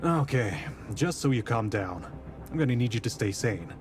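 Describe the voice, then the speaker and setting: A man plays a composed detective in a period visual novel. His voice is mid-pitched, dry and skeptical, full of questioning, with music clearly behind him. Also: lively intonation, moderate pace, natural voice. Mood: dismissive, puzzled. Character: skeptical, composed male detective of imperial Russia. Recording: voice over prominent background music.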